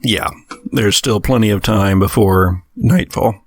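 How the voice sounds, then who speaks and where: Low, creaky-voiced man running a game. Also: a little slow, no room sound. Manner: conversational, descriptive scene-setting. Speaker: game master. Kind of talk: improvised narration of a tabletop horror game.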